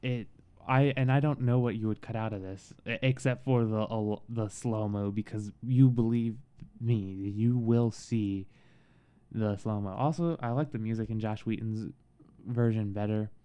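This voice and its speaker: A man giving a casual, halting film review in a soothing voice, with frequent pauses and restarts. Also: fairly low pitch, fairly even intonation, mellow, smooth. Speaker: movie reviewer.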